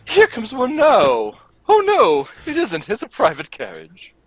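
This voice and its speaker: Woman as male detective's companion, husky, wildly swooping pitch, earnest, hesitant, eager, old-time radio drama. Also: huge pitch swings, a little slow, no room sound, exaggerated voice. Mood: excitable.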